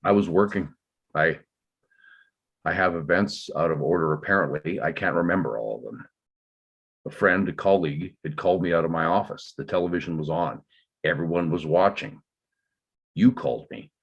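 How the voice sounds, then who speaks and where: A man playing a burdened, defensive father in a dramatic table read, explaining himself in halting, measured phrases. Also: low voice, slightly rough, dull audio. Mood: subdued, explaining.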